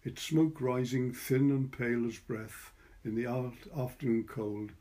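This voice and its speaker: Mellow Welsh man, measured storyteller. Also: mid-range voice, steady tone. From Wales. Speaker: curator and story reader. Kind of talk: informal introduction and literary story reading.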